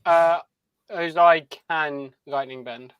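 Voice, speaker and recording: A man with a slightly high, smooth and clear voice, recorded dry without room sound. He talks casually as a podcast panelist, tossing in jokey, teasing remarks. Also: steady tone, slow, no echo, lo-fi sound. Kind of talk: casual fan panel chat.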